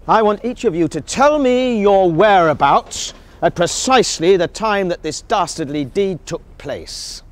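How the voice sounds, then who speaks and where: Pompous English detective for a whodunnit spoof: declamatory, theatrical, swooping delivery. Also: male voice, high-pitched, lively intonation, a little slow, hardly any pauses. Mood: commanding. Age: middle-aged. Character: pompous, theatrical English male detective in a country-house murder mystery. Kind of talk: comic whodunnit acting.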